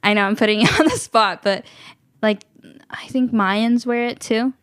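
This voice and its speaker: Young woman chatting, smooth dry voice. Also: on the high side, fairly even intonation, moderate pace, fairly bright tone, no room sound. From United States. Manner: chatty, many fillers and "like"s. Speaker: youth radio co-host. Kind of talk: casual conversation.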